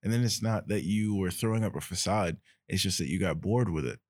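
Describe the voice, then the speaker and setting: A man's voice: low-pitched, brisk, darkish tone, dry recording, studio quality. A young man hosting a podcast, telling a story in a reflective, casual way. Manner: reflective, storytelling, frequent fillers. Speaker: podcast host.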